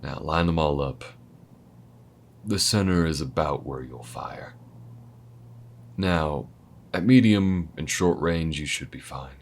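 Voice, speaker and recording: An alien gunslinger, voiced by a man, talks in an unhurried, folksy drawl, full of y'all and ain't. His voice sits extremely low, and every word comes slow and steady. He sounds like a patient mentor guiding a newcomer in an audio roleplay. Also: a little hiss or hum. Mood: patient instruction. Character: calm alien male gunslinger, protective mentor. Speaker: alien companion in a listener roleplay. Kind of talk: audio roleplay addressing the listener.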